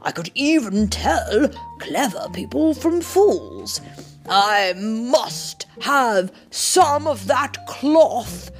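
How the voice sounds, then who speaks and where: A woman voicing vain, anxious storybook men, an emperor and his flustered officials, in a theatrical, hesitant, drawn-out way. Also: a little high, sing-song, faint music behind, voice pushed up, full character voice. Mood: eager, scheming.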